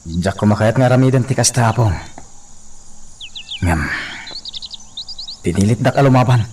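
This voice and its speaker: A man's voice: mid-range voice, normal speed, darkish tone, slight background noise, lightly acted. A man playing a wronged, earnest and defiant young farm laborer in an Ilocano radio drama.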